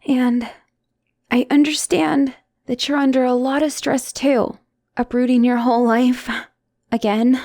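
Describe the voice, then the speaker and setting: A young woman acts out a lovestruck, obsessive girlfriend in an intimate audio drama. She speaks at an unhurried pace, clingy and teasing, with breathy sighs and drops into softness. The sound is close and dry. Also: high-pitched, level tone, no echo. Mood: earnest, conceding. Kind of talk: intimate one-sided audio roleplay drama.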